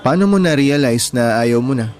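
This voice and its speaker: An actor playing a humble, easygoing young janitor on a date in a Filipino radio romance drama. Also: male voice, mid-range voice, lively intonation, normal speed, a little mellow, natural voice. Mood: curious, sympathetic. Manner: modest, easygoing. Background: background music.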